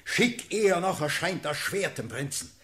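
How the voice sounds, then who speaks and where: An actor playing an urgent, pleading officer in a classical verse radio drama, declaiming with passion. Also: male voice, high voice, lively intonation, normal speed, a bit bright. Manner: impassioned, declamatory. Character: urgent, pleading officer counselling his sovereign.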